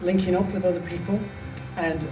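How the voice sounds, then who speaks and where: Man with a high voice speaking in a roomy hall. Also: fairly even intonation, moderate pace, over music. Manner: hesitant, filler-heavy, casual. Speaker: guest speaker. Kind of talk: conference talk. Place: large expo hall. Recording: roomy hall, mic far off.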